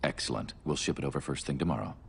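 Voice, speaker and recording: An actor playing a smooth, menacing, unruffled central banker in an animated cartoon. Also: male voice, rumbling low, racing, very noisy. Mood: smug, unruffled. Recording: music behind the voice.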